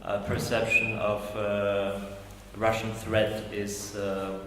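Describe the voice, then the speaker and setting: A man with a Polish accent speaks slowly and a little low, stopping often to search for words. His panel answer rings through a very echoey hall with long reverb. Faint noise sits underneath. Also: flat delivery. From Poland. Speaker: panelist, policy analyst.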